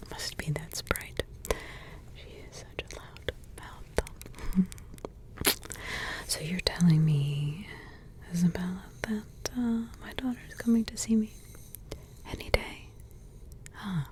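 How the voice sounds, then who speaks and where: A woman in an ASMR roleplay voicing a centuries-old witch mother who softly whispers, slowly ponders and hums to herself between thoughts. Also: on the low side. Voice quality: soft whisper throughout.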